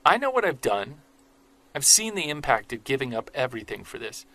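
An actor playing an earnest, soft-spoken Bigfoot investigator who narrates his own story in an audio drama. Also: mid-range voice, very animated, a little fast, low-quality recording. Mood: pleading.

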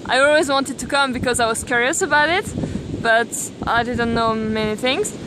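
A young woman from Belgium, filming herself at arm's length on a beach and talking thoughtfully with many pauses and restarts. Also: a little high. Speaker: vlogger. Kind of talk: casual selfie vlog. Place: outdoors on a beach, windy. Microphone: camera at arm's length. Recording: wind noise on the mic.

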